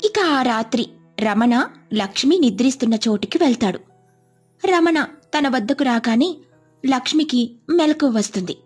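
High-pitched woman narrating a moral tale. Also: animated, moderate pace, pauses often, a little mellow, breathy edge, soft background music. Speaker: storyteller. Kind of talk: scripted moral-story narration.

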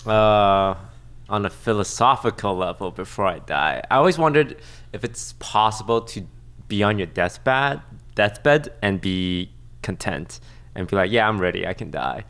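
Male podcast host around thirty, slightly low voice with lively swings, musing and informal. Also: a little slow, clean tone. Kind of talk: casual chat. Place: small indoor podcast studio. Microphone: close desk microphone.

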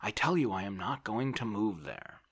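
Storybook landowner voiced by a man: indolent, dithering, plaintive, raised pitch with a bright, husky edge. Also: sing-song, moderate pace. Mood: irritable, pleading. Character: fretful, indolent, helpless Russian landowner man. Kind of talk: audiobook character voice.

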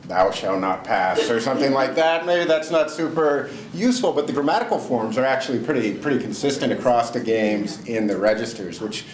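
A man's voice: medium pitch, lively intonation, fairly quick, few pauses. A middle-aged man answering audience questions after his research talk, casual and thinking aloud with fillers. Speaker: presenter. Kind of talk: academic Q&A answer. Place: seminar room, indoors. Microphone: far camera. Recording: echoey room.

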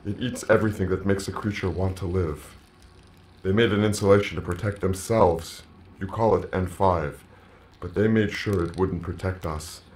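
An actor playing a grave alien colony that speaks through a man's body, solemn and measured, in an audio drama. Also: sits low, steady tone, a little mellow, slight background noise, natural voice. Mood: grave, accusing. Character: ancient alien hive-mind plant colony speaking through a man, grave.

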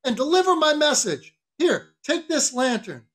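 A man voicing a blustering ship's mate who barks orders in a raised, loud, mocking manner. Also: very high voice, very animated, normal speed, voice pushed up, full character voice. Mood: bossy.

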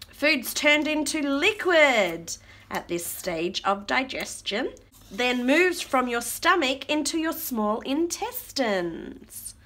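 A woman reading a picture book aloud to small children in an expressive, child-directed way, pausing to ask questions and repeat words. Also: high-pitched. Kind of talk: read-aloud storytime with chat to small children. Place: carpeted floor indoors at home. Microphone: camera looking down at the book.

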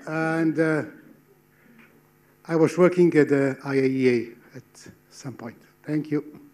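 Slow-talking older man. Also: fairly high pitch, steady tone, a little mellow. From Slovenia. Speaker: workshop participant. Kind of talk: self-introduction. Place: large seminar room. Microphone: handheld mic.

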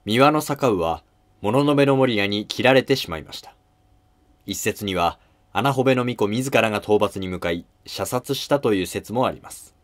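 Low-voiced male history narrator. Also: expressive, normal speed.

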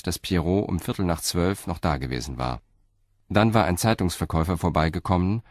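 A man narrating a crime radio drama in an even, measured way. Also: low voice, no room sound, studio quality. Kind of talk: scripted narration.

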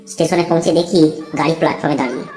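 A woman narrating a scripted first-person horror story in a dramatic, deliberate storytelling manner. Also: low-pitched, fairly even intonation, darkish tone, roomy sound, soft background music.

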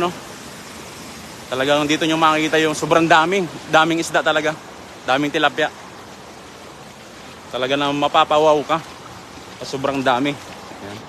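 A chatty young man hosts a live fishing stream by a river, warmly greeting viewers one by one. His voice sits a little high and is picked up by a handheld phone. Faint noise hums behind him. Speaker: livestream host. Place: outdoors by a river.

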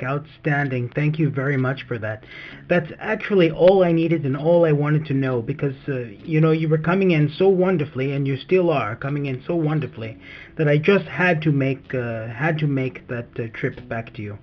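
A man operating a low-power ham radio station from home, thanking the other operator warmly and spelling his call sign phonetically. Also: moderate pace. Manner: friendly, effusive, spells with phonetic alphabet. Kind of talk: ham radio contact over the air. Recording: muffled lo-fi radio with faint music.

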